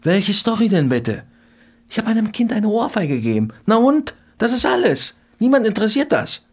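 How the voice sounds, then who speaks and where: A man's voice: high voice, very animated, moderate pace, breathy edge, full character voice. An actor playing a hot-tempered, defensive husband and father in a naturalistic radio drama, emphatic and indignant. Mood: indignant, dismissive. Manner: emphatic, indignant, repeats himself.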